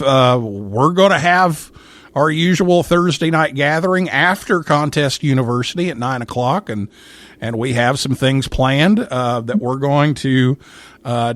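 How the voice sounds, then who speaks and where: A man hosting a casual live talk show, speaking in a halting way and often repeating his words. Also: medium pitch, a bit bright, no room sound. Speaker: radio show host.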